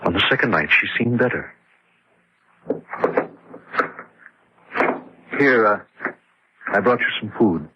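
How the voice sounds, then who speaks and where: An actor playing a worried, skeptical husband with a rough, husky voice in an old radio horror drama. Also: male voice, fairly low pitch, animated, moderate pace, dull audio, background noise, natural voice. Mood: sober recounting. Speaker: actor and first-person narrator in a radio drama.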